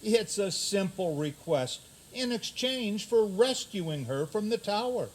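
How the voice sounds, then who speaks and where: Man playing a high-voiced, declamatory prince. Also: expressive, slow, heavily acted, rough recording. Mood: earnest defending. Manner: declamatory, formal. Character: earnest, theatrical prince defending his dear brother. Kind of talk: comic community radio theater.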